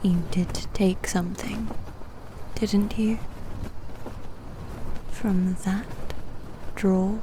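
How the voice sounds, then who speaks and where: A woman playing a reserved, formal demon knight in a soft-spoken ASMR roleplay, guarded about love, speaking hesitantly and often close to a whisper. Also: mid-range voice, steady tone, drawn-out words, pauses often, noisy background. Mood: stern, quiet.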